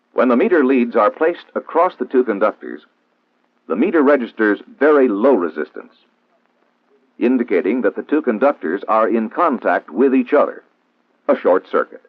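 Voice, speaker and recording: A thin, narrow-band old film soundtrack carries an American man narrating a 1960s training film. His fairly low voice is formal and didactic, with lively rises and falls as he gives measured instruction. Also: no echo.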